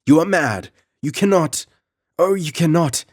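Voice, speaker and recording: A man voicing an outraged, desperate young noblewoman who pleads and screams in a high, shrill voice. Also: expressive, measured pace, dry recording, clean studio sound.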